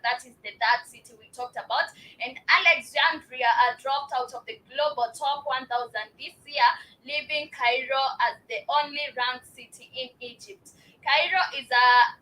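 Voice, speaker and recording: A Kenyan woman with a strained, raspy voice hosting a live show, commenting on news with many fillers and restarts. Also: high-pitched, pushed and bright, distant mic, poor audio.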